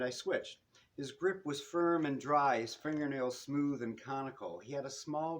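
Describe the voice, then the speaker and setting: Guy reading his detective novel out loud at a bookstore, kinda expressive, bit of background noise. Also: mid-range voice. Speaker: author reading own novel. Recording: noisy live room recording.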